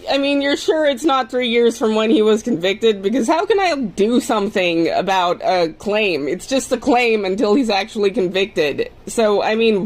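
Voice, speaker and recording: A woman speaking casually on a phone call as a client seeking advice, with a questioning, insistent manner. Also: a little high.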